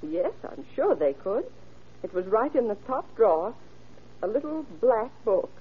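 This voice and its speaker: A woman's voice: fairly high pitch, big pitch swings, a little slow, breathy edge, narrow, tinny audio, exaggerated voice. An actress playing an anxious, trusting older mother in a fretful, earnest old radio drama style. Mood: hesitant, helpful. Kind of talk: vintage radio drama acting.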